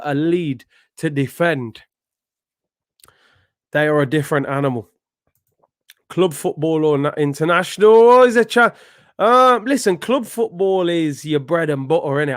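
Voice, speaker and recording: A young man hosting a live football watchalong, chatting in slang and breaking into excited shouts at big moments. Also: on the high side, smooth, dry recording, studio quality. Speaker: host of a football watchalong stream.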